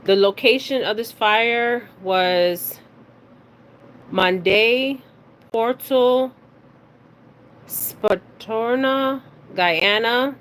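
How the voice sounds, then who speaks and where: A woman hosting a casual spiritual reading, speaking haltingly, stumbling over words and often checking in with her listeners. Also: a little high, fairly bright tone. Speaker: spiritual reader and card reader hosting her own video. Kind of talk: casual psychic reading, reading news details aloud. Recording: clearly noisy recording with background ambience.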